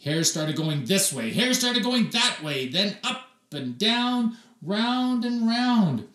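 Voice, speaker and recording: A man reading a children's story aloud expressively, with theatrical emphasis and dramatic pauses. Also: high-pitched, big pitch swings, fairly bright tone, reverberant. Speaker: read-aloud storyteller, teacher.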